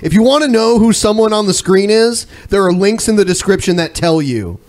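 Man, podcast host, slightly high pitch, casual live chat, blunt, profane. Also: expressive, a little fast.

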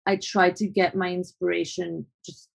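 A woman's voice: lowish voice, level tone, normal speed, creaky, breathy edge. A young adult woman photographer from Colombia, answering interview questions in a thoughtful, hesitant way with frequent restarts.

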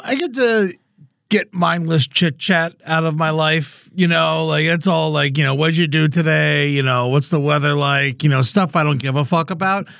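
Lively, wry young male game host. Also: on the high side, gravelly, no echo, studio quality. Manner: wry, deadpan quips, swears. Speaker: podcast host running a game. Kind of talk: casual comedy game hosting.

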